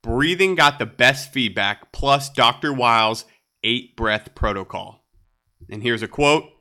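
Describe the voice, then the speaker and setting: A man's voice: medium pitch, animated, slow pace, sharp tone. A man hosting a solo podcast, sounding nasal and congested, upbeat and chatty with light laughs. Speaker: podcast host.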